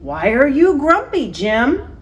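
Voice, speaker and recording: A chirpy, well-meaning jungle creature from a picture book, put on by a woman reader. The voice is high and sing-song, lilting widely as it urges cheer. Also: wildly swooping pitch, slow pace, exaggerated voice. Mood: cheerful concern.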